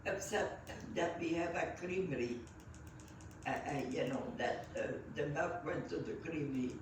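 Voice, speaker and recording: A woman, recalling her life in an interview in a halting manner full of fillers and restarts. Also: sits low, background noise. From Belgium. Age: 90s. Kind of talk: reminiscing storytelling. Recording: echoey room, voice set far from the mic.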